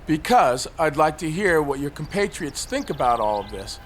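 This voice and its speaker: Suicidal cynic on a ledge, slightly high voice, sarcastic, articulate, audio drama, faint noise behind. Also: male voice, big pitch swings, normal speed, fairly bright tone. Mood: probing, challenging. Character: cynical, suicidal man perched on a building ledge.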